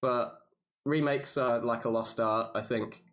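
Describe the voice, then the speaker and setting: A man's voice: mid-range voice, fairly even intonation, a little slow, fairly bright tone. A man chatting casually as a podcast panelist about games, giving opinions with some swearing. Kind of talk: casual gaming chat.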